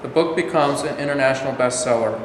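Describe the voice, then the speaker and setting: A man's voice: mid-range voice, fast, lightly acted. A man in a staged reading with a formal, deferential delivery, as a presidential aide and narrator. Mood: formal narration. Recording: very echoey noisy hall recording.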